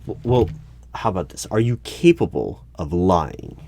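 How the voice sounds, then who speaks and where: A man playing an exasperated, argumentative hiring manager in an audio roleplay, speaking haltingly and in formal wording. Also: deep voice, lively intonation, background noise. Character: exasperated male human-resources hiring manager interviewing a robot. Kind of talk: one-sided audio roleplay.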